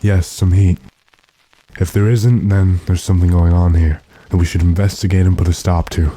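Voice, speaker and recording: Man playing a deep, dark-voiced stern gentleman master. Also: level tone, normal speed, no room sound. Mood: resolute. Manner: commanding, clipped instructions. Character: stern, resolute gentleman master, impatient and unafraid. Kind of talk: old-time radio drama acting.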